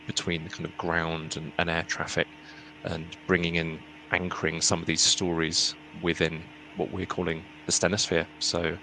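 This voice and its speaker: Deep flat-voiced guy opening an online panel, kinda sharp tone, faint hiss. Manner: earnest, with fillers and restarts. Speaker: event host. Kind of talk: introductory remarks. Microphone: video call. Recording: slightly noisy video-call audio.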